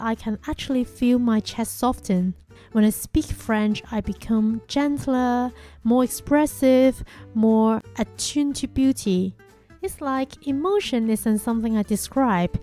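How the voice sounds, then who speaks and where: A young woman whose first language is Chinese hosts a podcast in a calm, gentle, reflective way. Her voice sits fairly high but sounds mellow and warm. Soft music plays faintly behind her. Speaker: podcast host. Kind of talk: reflective scripted monologue.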